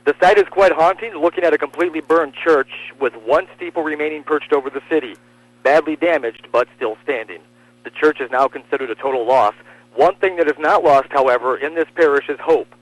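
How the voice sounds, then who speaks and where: A man's voice: fairly high pitch, brisk, dry recording, low-quality recording. An American man reading scripted radio news as a reporter and anchor.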